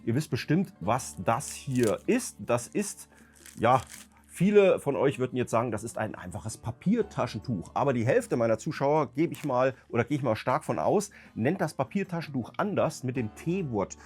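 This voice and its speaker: A man with a cold, hoarse and raspy, ranting emphatically as a YouTube host talking casually to his viewers. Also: medium pitch, big pitch swings, normal speed, a little mellow, faint music behind. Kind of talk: casual vlog talk to viewers.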